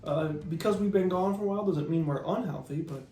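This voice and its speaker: A man's voice: high voice, fast, warm tone, distant mic. A young man in his twenties co-hosting a casual chat, upbeat with playful quips. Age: 20s. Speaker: co-host, son. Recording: echoey room off-mic, faint music.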